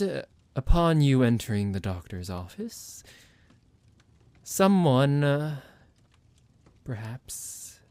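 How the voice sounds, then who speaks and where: A man's voice: fairly low pitch, creaky, darkish tone, no echo, heavily acted. A man playing a psychotic vampire doctor in an ASMR roleplay, condescending and playful toward a captive, with mock-polite, hesitant, musing delivery.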